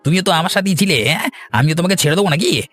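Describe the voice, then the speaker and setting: A man's voice: sits high, very fast, a bit bright, faint music behind. A man voicing a sly, two-faced, stammering henchman who blusters, in a cartoon. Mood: blustering. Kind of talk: Bengali cartoon dubbing.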